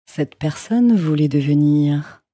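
A man with a soft, husky voice reading brief scripted questions as an interviewer. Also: fairly high pitch, expressive, slow, no room sound. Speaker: interviewer asking short questions.

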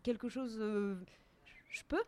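Higher-voiced woman, halting and heartfelt. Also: darkish tone. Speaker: guest speaker on a live radio show. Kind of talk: unscripted personal testimony before an audience.

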